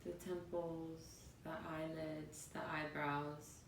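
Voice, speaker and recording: Woman meditation guide, soothing and spacious phrasing; roomy, echoing recording with faint background rumble. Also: flat delivery. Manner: soothing, unhurried phrases with pauses. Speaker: yoga and meditation teacher. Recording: roomy, distant mic, faint noisy rumble.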